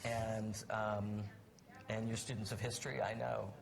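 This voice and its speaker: A man's voice: lowish voice, flat delivery, measured pace, creaky. A man moderating a live panel, searching for his words as he puts questions, with an audible crowd in the background.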